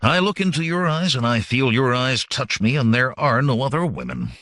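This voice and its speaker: A man's voice: mid-range voice, very animated, normal speed, fairly bright tone, dry recording, clean studio sound, pitched above the performer's own voice. A man voicing a blunt, ardent young warrior from the hills in an audiobook, with grave, formal speech. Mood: fervent, aggrieved.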